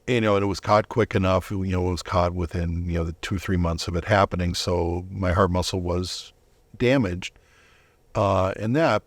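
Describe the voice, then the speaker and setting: A middle-aged man giving a conversational personal testimony as an interview guest, reflective and halting, often rephrasing himself. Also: sits low, no echo.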